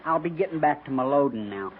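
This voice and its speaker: A man's voice: fairly high pitch, animated, fast talker, natural voice. A man playing a put-upon cavalry trooper in a 1950s western radio drama, grumbling in a folksy, rustic American accent. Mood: resigned, grumbling. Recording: muffled, noisy vintage radio recording.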